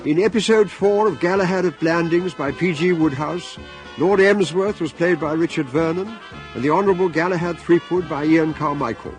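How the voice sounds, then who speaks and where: A man's voice: a little high, moderate pace, music in the background, close to an everyday voice. A man with a British accent reading scripted narration and announcements for a radio drama.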